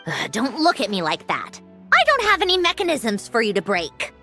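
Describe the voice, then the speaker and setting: Bright, swooping haughty young lady. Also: on the high side, wildly swooping pitch, moderate pace, faint music behind, exaggerated voice. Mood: flustered, guarded. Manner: haughty, easily flustered. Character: proud, flustered young lady inventor, secretly soft-hearted. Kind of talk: video game voice acting.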